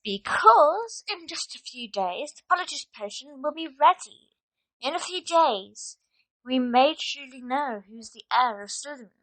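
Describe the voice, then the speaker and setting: A woman as a high-voiced, bossy clever schoolgirl. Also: sing-song, unhurried, fairly bright tone, no room sound, full character voice, rough recording. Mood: confident, scheming. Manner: assured, instructing. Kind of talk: audio drama acting.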